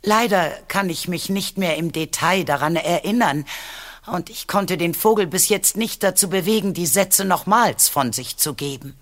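A woman's voice: on the low side, moderate pace, natural voice. Actress as an earnest, guarded woman researcher. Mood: serious. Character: worried, secretive adult woman researcher, bird owner. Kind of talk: audio drama dialogue.

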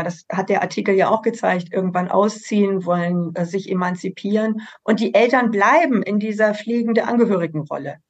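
A middle-aged woman from a parents' association, speaking in a measured way with frequent hesitations in a panel discussion. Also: medium pitch, highs cut off. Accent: German.

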